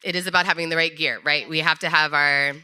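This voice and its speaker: A woman's voice: low-pitched, steady tone, fast, bright, forceful tone, clear, resonant tone. Laughing woman telling stories on stage. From not from Minnesota, grew up in a military family. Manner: laughs often, chatty storytelling with restarts. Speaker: podcast co-host and guest being interviewed. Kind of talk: live on-stage conversation. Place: live event stage before an audience.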